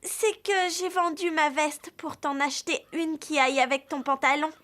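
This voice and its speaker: A woman voicing a sweet, affectionate teenage girl rider in a French cartoon dub, with a gushing, expressive way of talking. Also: sits high, normal speed. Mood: touched. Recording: music behind the voice.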